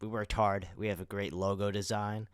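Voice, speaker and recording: Young male podcast co-host, low clean voice, dry sound, chatty with announcer touches. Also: level tone, normal speed, clean tone, no echo. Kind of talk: casual podcast chat.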